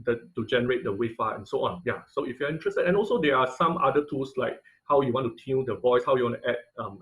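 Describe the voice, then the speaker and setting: A man teaching an online class in an informal, halting tutorial style, often checking in with listeners. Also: medium pitch, rough recording. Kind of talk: informal tutorial with live demonstration. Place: online video call class.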